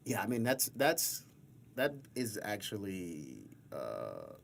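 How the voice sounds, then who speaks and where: Male podcast host, low register, relaxed interview chat, slight background noise. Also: slow pace, vocal fry.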